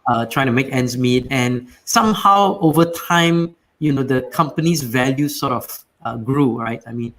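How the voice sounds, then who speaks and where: A man's voice: mid-range voice, moderate pace, few pauses, fairly bright tone. Guy hosting a business show, rambly questions, lots of uh and you know. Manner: rambling, filler-heavy questioning. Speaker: podcast host. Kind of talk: interview questions.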